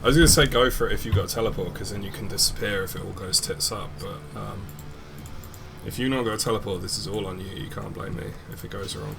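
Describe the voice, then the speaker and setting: Young man, low voice, laid-back stream chatter, noisy home mic. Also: quick pace, vocal fry. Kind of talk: casual gaming stream chat.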